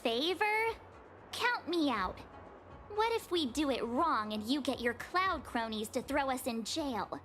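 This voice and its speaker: A woman's voice: high-pitched, lively intonation, measured pace, fairly bright tone, soft background music. A woman voicing a brash, suspicious young female game companion, blunt and sarcastic. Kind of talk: video game voice acting.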